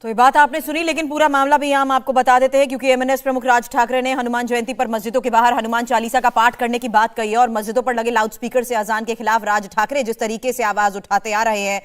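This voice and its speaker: A woman news anchor with an Indian accent speaks in a fairly high voice. She presents urgently, running clauses together into long, emphatic sentences. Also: level tone, quick pace, hardly any pauses, smooth.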